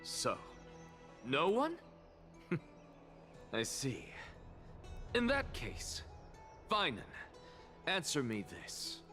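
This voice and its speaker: Theatrical, arrogant scholar, sweeping intonation. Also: male voice, a little high, wildly swooping pitch, drawn-out words, long pauses, pushed and bright, faint music behind, full character voice. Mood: mocking, goading. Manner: theatrical, mocking, rhetorical. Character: theatrical, arrogant male scholar, provocative and self-assured. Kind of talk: dramatic video game voice acting.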